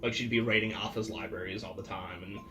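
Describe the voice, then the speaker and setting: A man's voice: fairly low pitch, steady tone, quick pace, far from the mic. A man playing in a tabletop roleplaying game, telling his character's story in a jokey, informal way. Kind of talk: casual chat, improvised character storytelling. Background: ambient music.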